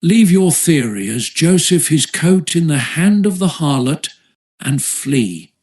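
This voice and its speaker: A man narrating an audiobook of a nineteenth-century essay in a measured, formal literary manner. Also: fairly high pitch, animated, darkish tone.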